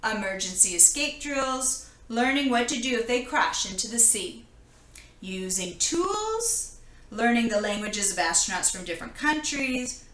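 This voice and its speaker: Female storybook reader, clear-cut bright tone, expressive read-aloud for young children, roomy echoing indoor recording. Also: on the high side, a little slow, slight background noise.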